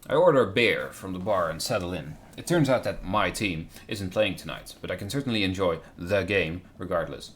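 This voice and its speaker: Low voiced guy streaming a visual novel, reads it out and drops wry comments, bit of noise behind. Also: animated, fairly quick, few pauses. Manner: wry, interjects remarks on the script. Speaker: game streamer, co-reader. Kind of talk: reading visual novel aloud with joking commentary. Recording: faint noise on a live stream.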